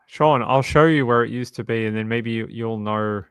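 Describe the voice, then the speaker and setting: Australian guy, about forty, streamer, casual rambling chat, mid pitch, dry close mic. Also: normal speed, fairly bright tone, smooth, no room sound. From Sydney, Australia. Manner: rambling, self-correcting storyteller. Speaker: true crime streamer.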